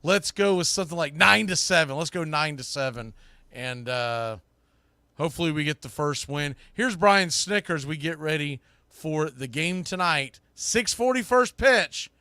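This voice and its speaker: A man hosting a sports podcast with casual live commentary, rambling and halting as he thinks aloud. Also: fairly high pitch, bright, forceful tone, clean tone, no echo.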